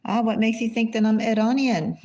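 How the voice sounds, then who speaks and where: A woman's voice: a little high, fairly even intonation, fairly quick, warm tone. A woman giving a casual tarot reading, rambling and thinking aloud, asking herself small questions as she goes. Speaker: tarot reader. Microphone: phone.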